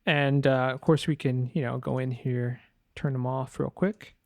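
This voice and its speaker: A man's voice: medium pitch, flat delivery, unhurried, creaky, dry recording. Casual man's tutorial voice, dry and close. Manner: informal, loose, thinking aloud. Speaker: sound designer giving a tutorial. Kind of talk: casual screen-share tutorial walkthrough.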